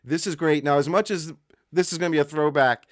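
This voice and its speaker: A man's voice: fairly high pitch, no room sound, studio quality. A man co-hosting a live horror podcast in casual chat, often stumbling over his words and restarting sentences. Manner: halting, stumbles and repeats words, laughs easily. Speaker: podcast co-host.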